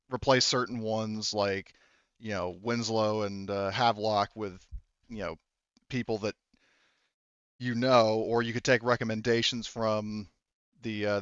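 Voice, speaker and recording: A man with a low, smooth voice works as a tabletop game master. He explains in a halting, conversational way, trailing into asides as he thinks aloud. The sound is close and dry, slightly dull on a lo-fi microphone. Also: a bit bright, no room sound.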